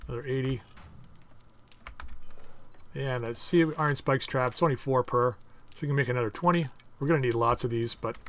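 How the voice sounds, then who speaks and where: A man hosting a casual game playthrough, chatting and thinking aloud as he crafts items, with his voice going a bit hoarse. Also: medium pitch, fairly bright tone. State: losing his voice. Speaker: gaming channel host. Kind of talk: casual let's-play commentary.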